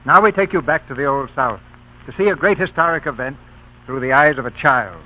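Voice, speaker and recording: A man's voice: mid-range voice, expressive, fairly quick. A man hosting a radio theatre, reading formal scripted narration in 1940s broadcast style. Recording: tinny old radio broadcast, music.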